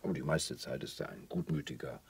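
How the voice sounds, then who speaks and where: An actor playing a calm, protective island recluse in a German radio play, speaking in a gentle, wry way. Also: male voice, extremely low pitch, brisk, dry recording, heavily acted. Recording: clearly audible background ambience. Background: ambience noise.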